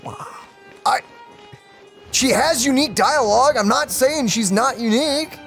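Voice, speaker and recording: A woman's voice: high voice, unhurried, faint music behind. A woman giving casual let's play commentary as a gaming host, joking and playfully exasperated.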